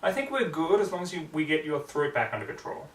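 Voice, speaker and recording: A man voicing a young female unicorn librarian, earnest, fussy and easily excited, in a story reading. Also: fairly high pitch, quick pace, reverberant, far from the mic. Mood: exasperated. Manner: exasperated, fussy, prone to gushing.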